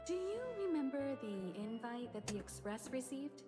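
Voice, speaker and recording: A woman voicing a composed, intrigued adult traveller in a video game, speaking in a measured, knowing way. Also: fairly high pitch, very animated, over music. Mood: knowing, mysterious. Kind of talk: video game story dialogue acting.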